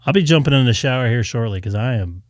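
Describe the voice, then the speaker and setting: A man's voice: medium pitch, expressive, fast talker, no room sound, clean studio sound. A man hosting a ham radio live stream, talking casually and a bit tired, with lots of fillers. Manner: tired, loose, chatty with many fillers.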